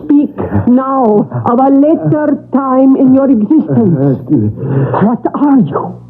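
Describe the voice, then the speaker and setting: A woman's voice: high voice, expressive, takes it slowly, slight background noise. Imperious woman, occult master of creatures, incantatory delivery, old radio play, muffled band. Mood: commanding. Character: commanding occult mistress of a demonic creature. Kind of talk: old radio drama acting.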